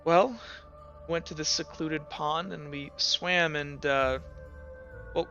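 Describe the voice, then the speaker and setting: A man voicing a candid, tearful teenage noblewoman in a tabletop game, confiding in a halting, hesitant way. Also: on the high side, steady tone, sharp tone, highs cut off, soft background music, full character voice. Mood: sad confession.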